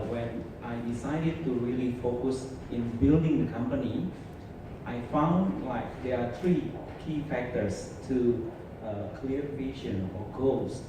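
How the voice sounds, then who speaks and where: A man, a company founder on a panel, laying out his points in a measured way. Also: mid-range voice. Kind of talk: panel talk. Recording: echoey hall, distant mic, noisy.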